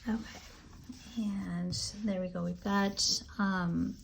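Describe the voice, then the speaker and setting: A woman with a raspy, allergy-hoarse voice chatting casually as she decorates her planner, relaxed and full of ums. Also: fairly low pitch, slight background noise, poor audio. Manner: slow, relaxed, chatty with frequent ums and asides. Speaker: planner vlogger. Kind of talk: casual plan-with-me narration.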